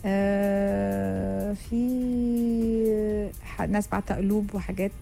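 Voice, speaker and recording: A woman's voice: medium pitch, steady tone, slow, creaky, warm tone, faint music behind. A woman with an Egyptian accent hosting a radio interview, chatty, with many fillers. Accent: Egyptian Arabic. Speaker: radio host.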